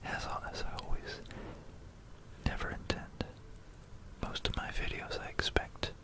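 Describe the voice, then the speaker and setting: An ASMR creator speaking in a soft, unvoiced whisper, mixing reading aloud with informal, musing asides, close to a stereo microphone. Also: unhurried. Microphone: close stereo binaural microphone.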